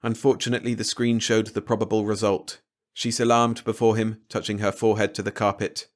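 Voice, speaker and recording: A man's voice: fairly low pitch, little pitch movement, fairly quick. A man reading a short story aloud as a scripted audiobook narration. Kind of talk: scripted story narration, bedtime read-along.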